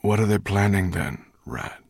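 A man voicing an old, tired dragon leader in a storybook, hoarse and commanding. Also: deep voice, animated, measured pace, a little mellow, dry recording, clean studio sound, exaggerated voice. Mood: weary. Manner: weary, commanding.

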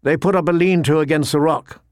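A man's voice: on the high side, steady tone, brisk, no echo, studio quality, lightly acted. A man voicing a wary poacher turned scout in an audiobook, reporting in plain, guarded, rustic speech. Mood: uneasy.

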